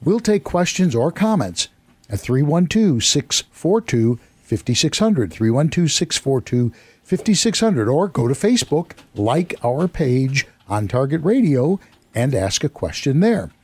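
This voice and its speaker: Hoarse, chatty male radio host. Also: on the high side, sing-song, measured pace, a little mellow, no echo. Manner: chatty, self-deprecating, rambling. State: hoarse, losing his voice. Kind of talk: casual radio talk. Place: radio studio. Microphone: studio microphone.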